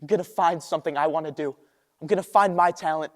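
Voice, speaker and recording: Restless young dreamer, played by a teenage male, high-pitched, earnest stage delivery with dramatic pauses, ringing hall. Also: natural voice. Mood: impassioned. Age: teens. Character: restless idealistic young man rejecting a humdrum life. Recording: echoey hall with long reverb.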